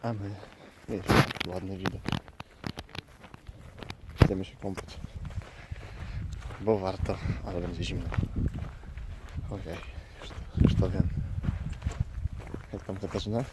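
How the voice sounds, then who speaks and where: A man with a fairly low voice talks off the cuff for a travel vlog. His delivery is casual and conversational. The outdoor recording has clear noise behind him. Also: flat delivery, takes it slowly, long pauses. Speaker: travel vlogger. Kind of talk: casual vlog chat, unscripted. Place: outdoors by a lake. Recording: noisy outdoor recording with clicks.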